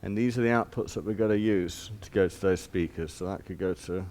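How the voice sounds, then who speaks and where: A man's voice: low voice, brisk, darkish tone. A man giving an informal hands-on demonstration as a shop presenter, explaining step by step in plain, casual words.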